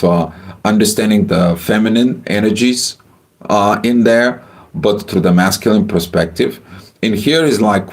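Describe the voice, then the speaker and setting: Man, deep voice, halting livestream astrology talk, lots of ums. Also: a little mellow. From Bulgaria. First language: Bulgarian. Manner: halting, many filler sounds, greets chat viewers.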